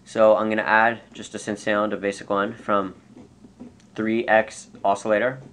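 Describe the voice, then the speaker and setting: A man giving an unscripted, casual software tutorial, explaining step by step and correcting himself as he goes. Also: fairly low pitch, little pitch movement, normal speed. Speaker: tutorial presenter. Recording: clear background noise.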